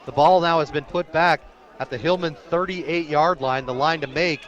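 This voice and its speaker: A man's voice: fairly high pitch, moderate pace, dull audio. An American man doing live radio play-by-play of a football game outdoors, describing the action as it unfolds. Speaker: play-by-play sports announcer.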